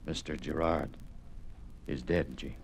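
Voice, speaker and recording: A grave father in an old radio drama. His voice is very deep, stern and weary. The vintage recording is audibly noisy. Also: fairly even intonation, takes it slowly, gravelly, exaggerated voice. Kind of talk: 1950s radio drama acting.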